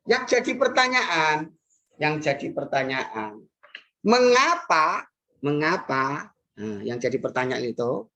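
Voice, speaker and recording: An Indonesian woman teaches math at an unhurried pace. Her voice sits on the lower side and moves up and down freely as she repeats key steps. She fills the pauses with thoughtful hums. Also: a bit bright. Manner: patient, repeats key phrases, frequent "nah" and "hmm" while working problems. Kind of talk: recorded classroom math lesson.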